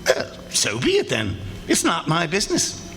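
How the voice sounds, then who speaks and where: Old miser, live radio play: gruff, cold, bright forceful delivery, highish pitch. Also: male voice, wildly swooping pitch, normal speed. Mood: scornful, dismissive. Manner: gruff, scornful, barking. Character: miserly, irritable old businessman, cold and scornful. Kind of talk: live stage radio theatre acting. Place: stage before a live audience. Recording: live stage recording, faint background noise.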